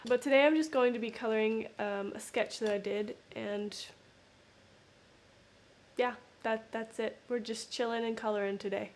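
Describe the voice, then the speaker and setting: A woman's voice: fairly high pitch, normal speed. A young woman with a stuffy voice from being sick, casually talking through her coloring in a rambling voiceover. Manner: relaxed, rambling with many ums. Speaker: art channel host. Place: home room, indoors. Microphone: camera mic, then voiceover.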